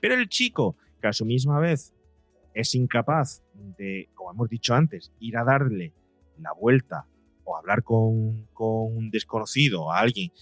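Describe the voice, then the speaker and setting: A man's voice: fairly low pitch, big pitch swings, unhurried, no room sound, soft background music. A man speaking as an interview guest and psychologist, explaining in a conversational way and voicing imagined quotes to illustrate his points.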